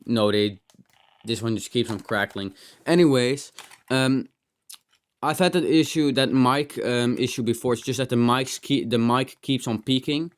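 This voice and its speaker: A man's voice: mid-range voice, a little slow, clean tone. A man giving a casual, unscripted hands-on gadget demo, rambling and thinking aloud with some mild swearing. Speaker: host of a tech teardown channel.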